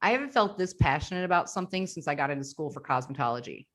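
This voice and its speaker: A woman's voice: low-pitched, quick pace. A woman in her forties hosting a candid, casual conversation, talking openly with plenty of fillers. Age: 40s. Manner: candid, animated, with frequent fillers. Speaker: podcast host.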